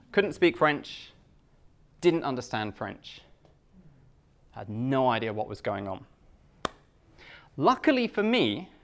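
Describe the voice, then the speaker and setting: A British man from the UK gives a live talk to a Japanese audience in simple, slow English, joking at his own expense. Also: medium pitch, fairly bright tone. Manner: simple slow English with self-mocking jokes. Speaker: guest speaker.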